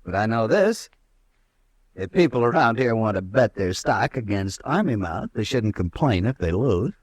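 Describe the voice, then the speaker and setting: A haughty young cavalry officer in an old radio western, his pitch swinging widely as he talks. He is clipped, formal and proud. The sound is dry. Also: sing-song, normal speed, no room sound. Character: proud, arrogant young male cavalry lieutenant. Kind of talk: 1950s radio drama acting.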